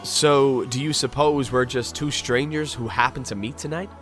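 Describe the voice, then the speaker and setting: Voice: medium pitch, steady tone, normal speed, soft background music, natural voice. An actor playing a bewildered, polite amnesiac spirit with dry wit in a video game fan dub, hesitant and given to exaggerated surprise. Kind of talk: dramatic fan dub with inner monologue.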